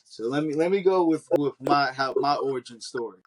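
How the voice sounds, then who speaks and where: A man's voice: mid-range voice, a little fast, a little hiss or hum. A man co-hosting a football podcast, teasing his partner and steering the conversation. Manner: teasing, laughs often, steers the talk. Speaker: podcast co-host. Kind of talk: casual sports chat.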